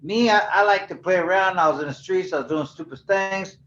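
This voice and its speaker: A man's voice: high voice, fairly quick, a bit bright. Laid-back older man in an echoey room. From California. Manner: laid-back, rambling, heavy "you know" fillers. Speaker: guest, former professional boxer. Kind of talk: casual storytelling interview. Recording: echoey, dull lo-fi sound.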